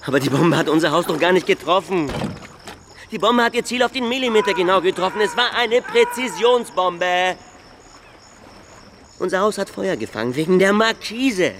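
Grieving male river peddler, high voice, plaintive, halting, insistent, radio drama, faint insect-filled ambience. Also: lively intonation, noisy background. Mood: insistent, guilty. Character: grieving, guilt-ridden, pleading male river trader. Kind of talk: German radio play acting.

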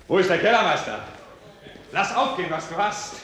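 A man's voice: high voice, expressive, a little fast. A man playing an exuberant lord calling orders at a banquet in a 1950s German radio drama. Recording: very echoey 1950s radio, loud music.

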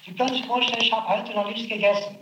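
An exhausted man pleads under questioning, his voice pitched high and strained. He repeats himself in exasperation. The old tape is roomy and carries a faint hiss. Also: fairly even intonation, fast talker, a bit bright. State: exhausted, complains of headache. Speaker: suspect under interrogation. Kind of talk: archival interrogation recording.

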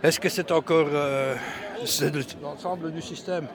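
A man, not a native French speaker, answers a street interview at a demonstration, halting with many restarts and fillers. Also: on the high side, steady tone.

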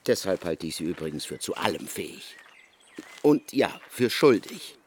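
An actor playing a pompous, probing criminologist in a German radio drama, speaking in an emphatic, lecturing and insistent way. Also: male voice, low-pitched, sing-song, moderate pace, a little mellow, slight background noise. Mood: accusing, insistent. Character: pompous, probing male criminologist interrogating a convicted killer.

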